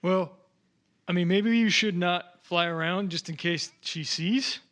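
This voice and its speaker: A man's voice: high-pitched, a little slow, fairly bright tone. A man playing a tabletop game, casually describing what his character does and reading out rules.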